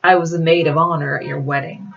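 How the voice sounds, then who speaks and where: Woman reader doing a prim secretive lady ally in a storybook, firm and formal, lowish voice, muffled audio. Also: fairly even intonation, a little fast, gravelly, fairly bright tone, slight background noise. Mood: matter-of-fact.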